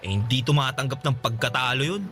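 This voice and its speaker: A man's voice: medium pitch, fast talker, a bit bright, lightly acted. A man acting a kind, easygoing young man in a radio drama, reassuring his friend and laughing often, over background music. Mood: warm, reassuring.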